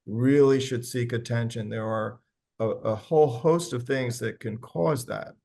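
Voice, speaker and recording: Man, doctor, gravelly voice, conversational, many fillers, medical panel. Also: medium pitch, measured pace, mellow. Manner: conversational, frequent fillers and restarts. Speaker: physician panelist.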